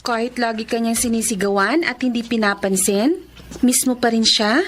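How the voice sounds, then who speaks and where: An actress playing a kind, soothing young nanny in a radio drama. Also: female voice, on the high side, steady tone, normal speed, a bit bright, slight background noise, natural voice. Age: young adult. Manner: soothing, mixes Tagalog and English. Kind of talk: Filipino radio drama acting and narration.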